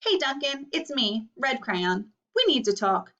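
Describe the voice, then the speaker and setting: A woman's voice: high voice, very animated, measured pace, fairly bright tone, full character voice. A woman voicing an overworked male red crayon from a storybook, complaining emphatically and pleading for rest. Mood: weary complaint. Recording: dull, distant microphone.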